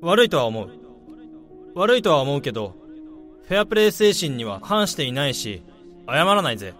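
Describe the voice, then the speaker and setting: A man's voice: on the high side, big pitch swings, moderate pace, a bit bright, soft background music. A man playing a candid, slightly needling male coworker in a radio drama. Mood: confessing. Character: frank, teasing male coworker and rival in love.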